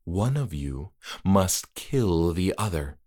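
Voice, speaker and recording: Man doing a hushed, low, formal creature, slow and quiet, in a creepy story. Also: exaggerated voice. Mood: coldly polite. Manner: calm, soft, measured and formal. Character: eerily polite male trick-or-treater spirit.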